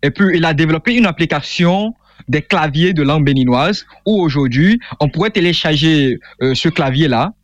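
Young man, earnest, hesitant, fairly high voice, dull muffled sound, dry. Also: animated, normal speed, no room sound. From Benin. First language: Fongbe. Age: young adult. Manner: earnest, explanatory, many hesitations. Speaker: author guest. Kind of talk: interview conversation.

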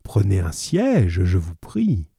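A man voicing a calm, ironic detective in a read-aloud story, smooth and mocking. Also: low-pitched, takes it slowly, no room sound, clean studio sound, full character voice. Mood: amused.

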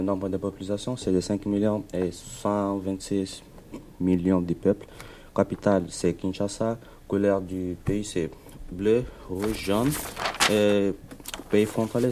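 Deep-voiced young Congolese man hosting. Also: flat delivery, a little mellow, a little hiss or hum. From Democratic Republic of the Congo. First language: Lingala. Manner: halting, with restarts. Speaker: student radio host. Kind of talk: reading a school radio show.

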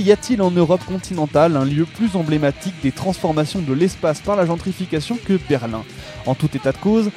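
A man's voice: a little high, warm tone. A man reading a scripted documentary narration as a radio narrator. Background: music with guitar.

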